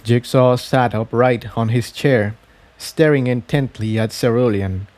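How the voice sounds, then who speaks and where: A man's voice: medium pitch, fairly even intonation, measured pace, a little mellow. A man reading scripted fiction as an audiobook narrator.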